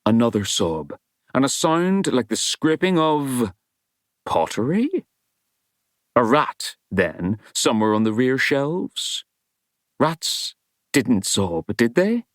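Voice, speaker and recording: A man reading a novel as an audiobook narrator, in a wry storytelling style with measured, dramatic emphasis. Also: medium pitch, sing-song, no echo, clean studio sound.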